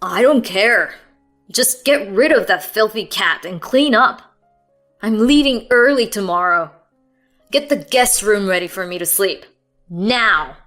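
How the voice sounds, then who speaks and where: Woman doing a harsh, bossy husband; loud, curt orders, no pitch change. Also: animated, unhurried, faint music behind. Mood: yelling. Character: irritated, domineering grown man, a husband.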